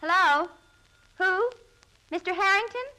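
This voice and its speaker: A woman's voice: very high-pitched, a little slow, sharp tone, slight background noise, rough recording. A woman performing brash, teasing lines as a cast member in a 1940s radio comedy.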